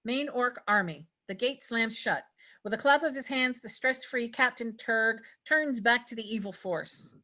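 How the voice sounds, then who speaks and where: A woman's voice: fairly high pitch, unhurried, pushed and bright. A woman reading stage directions as scripted narration at a table read.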